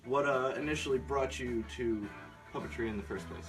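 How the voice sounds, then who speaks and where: A man hosting a casual interview, asking questions in a hesitant, halting but encouraging way. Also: mid-range voice, rough recording. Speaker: interviewer, host. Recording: music playing behind the talk. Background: guitar music.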